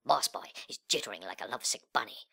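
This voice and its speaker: A man performing a dramatic monologue as a sullen, cocky, nihilistic young slacker, with breathy, slangy, sneering delivery that swings between muttering and outbursts. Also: on the low side, lively intonation, fast talker, sharp tone, exaggerated voice. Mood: cocky, agitated. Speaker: reader of a literary monologue. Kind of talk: dramatic first-person monologue in slangy speech.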